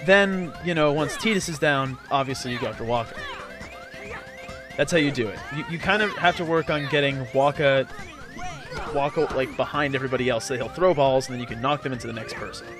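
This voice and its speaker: A man's voice: mid-range voice, a little fast, music in the background. A young man giving casual, rambling gameplay commentary with frequent stammers as he explains. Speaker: gamer, let's play commentator.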